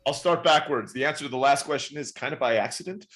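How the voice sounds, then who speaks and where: A man in his forties, born in the Philippines and raised in Turkey, chatting quickly as a podcast guest telling his life story. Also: mid-range voice, a bit bright. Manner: rapid, chatty, often saying "right?". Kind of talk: casual interview storytelling.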